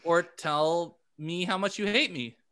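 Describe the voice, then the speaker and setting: A man with a somewhat high, bright voice co-hosts a joking podcast. He talks casually and at a slow pace. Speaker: podcast co-host.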